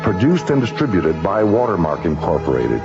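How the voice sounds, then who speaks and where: A solemn male narrator, announces in a low voice with sweeping, dramatic intonation. The portentous late-1970s radio delivery sits over loud music on a slightly muffled recording. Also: very animated, normal speed. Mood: formal. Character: grave, dramatic male documentary-style narrator.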